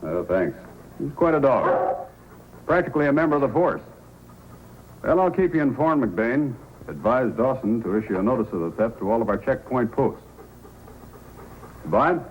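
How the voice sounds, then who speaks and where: An actor playing a calm, courteous police sergeant who questions methodically, in old-time radio style. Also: male voice, medium pitch, normal speed, long pauses, natural voice. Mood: businesslike. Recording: noisy old radio recording.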